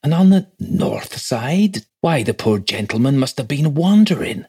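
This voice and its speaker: A man's voice: lowish voice, brisk, a little mellow, close to an everyday voice. Village clerk, done by a male reader: rustic, respectful, shockable working man for a storybook ghost tale. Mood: shocked. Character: shocked, deferential old-fashioned village clerk.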